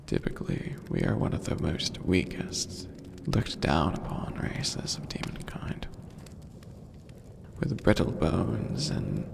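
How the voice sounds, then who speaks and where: A man voicing an imperious, playfully menacing skeleton demon overlord in an ASMR fantasy roleplay, with a gravelly, breathy murmur and formal, theatrical phrasing. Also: low-pitched, noisy background, full character voice.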